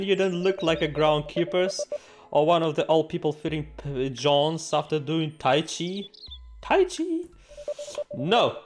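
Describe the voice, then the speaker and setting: A man hosting a game playthrough, reading aloud and reacting in a jokey, theatrical, exclamatory way. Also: a little high. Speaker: gaming video host. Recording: music behind the voice. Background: game music.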